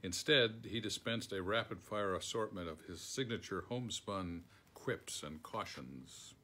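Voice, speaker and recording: A man's voice: low-pitched, measured pace, pushed and bright, slight background noise. An older American man from New York State reading a written memoir aloud as scripted narration. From Schenectady, New York, United States. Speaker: foreword author reading aloud. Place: book-lined room at home.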